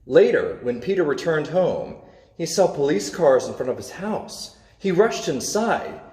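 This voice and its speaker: A man reading a children's superhero story aloud, with expressive, dramatic emphasis. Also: fairly high pitch, measured pace. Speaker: storybook reader. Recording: echoey, reverberant room.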